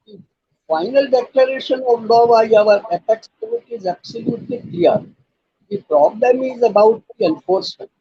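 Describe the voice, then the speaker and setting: Older male legal speaker, Indian accent, rough creaky raspy voice, mellow tone, deliberate and emphatic delivery, dull lo-fi recording. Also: high-pitched, no room sound. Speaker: lawyer and channel host. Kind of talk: explanatory legal talk, quoting judgments.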